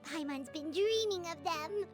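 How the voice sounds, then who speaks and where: A woman's voice: extremely high pitch, measured pace, over music. A woman voicing a small, eager, food-loving fairy companion in a video game, childlike and exclamatory, speaking of herself in the third person. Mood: delighted. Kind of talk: video game character acting.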